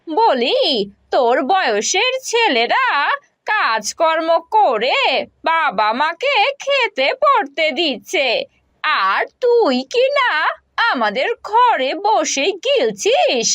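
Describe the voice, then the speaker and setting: A woman playing a sarcastic, dramatic village mother from a folk-tale cartoon, scolding in drawn-out phrases. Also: very high voice, very animated, fairly bright tone, no room sound, exaggerated voice.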